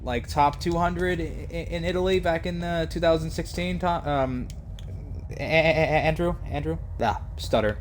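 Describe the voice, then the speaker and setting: A man's voice: fairly high pitch, measured pace, a little hiss or hum. A man streaming a video game, chatting casually with viewers in a profane, joking, rambling way. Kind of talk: casual live-stream commentary.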